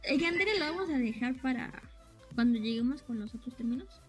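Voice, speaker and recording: A woman's voice: high voice, expressive, a little fast, faint music behind. A young woman chatting casually, explaining things and often searching for the right words. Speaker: co-host explaining anime terms. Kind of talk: casual chat.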